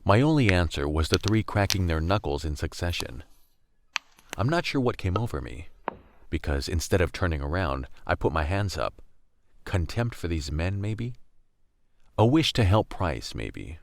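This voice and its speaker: A man's voice: deep voice, sing-song, moderate pace, vocal fry, heavily acted. A man acting as a weary, wry young survivor, narrating a radio drama in the first person. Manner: wry, brooding, world-weary. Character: weary, gritty young male survivor narrating a post-collapse story.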